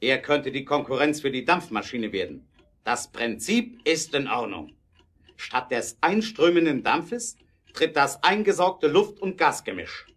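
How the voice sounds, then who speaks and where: A visionary inventor in an audio play. He speaks in a mid-pitched voice with deliberate, theatrical emphasis, earnest and brooding, utterly set on his idea. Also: big pitch swings, fairly bright tone. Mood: eager, explaining. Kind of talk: German audio drama acting.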